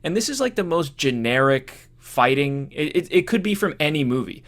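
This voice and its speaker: A man co-hosting a casual movie discussion podcast, talking informally and thinking out loud in a halting way. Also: on the high side, sing-song. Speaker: podcast co-host.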